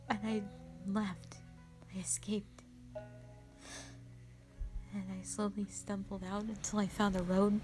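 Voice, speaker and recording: A woman playing a traumatised, anguished young woman in an audio drama monologue, speaking haltingly and often hushed. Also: mid-range voice, lightly acted. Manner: halting, hushed, breaking into whispers. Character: traumatised young woman confessing a harrowing past, anguished, broken. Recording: music behind.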